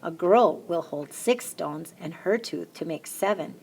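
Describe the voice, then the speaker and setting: A woman reading a children's picture book aloud, lifting her voice high and bright for the little chants. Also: measured pace, creaky, slightly rough. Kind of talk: storybook read-aloud.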